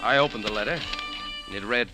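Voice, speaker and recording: Actor as hard-boiled American sleuth over dramatic music. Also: male voice, lowish voice, fast, lightly acted. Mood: cool, narrating. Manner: laconic, hard-boiled, deadpan. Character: cool, wry American café owner turned sleuth. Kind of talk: 1940s radio drama narration and dialogue.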